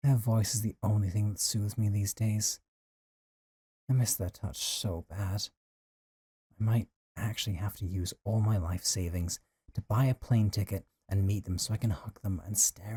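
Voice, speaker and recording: A man's voice: fairly low pitch, fairly even intonation, moderate pace, long pauses, dark tone, breathy edge, no room sound. A man acting a possessive, lovesick young man in an intimate audio roleplay, talking in a casual, teasing, conversational way with sighs. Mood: yearning, lovesick. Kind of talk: intimate audio roleplay drama, one side of a call.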